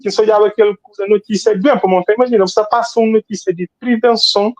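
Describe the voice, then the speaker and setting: A man's voice: high-pitched, fairly even intonation, fast, a little mellow, no room sound. A man from Cape Verde, talking casually as a guest author, rambling and repeating phrases for emphasis. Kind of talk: casual interview talk.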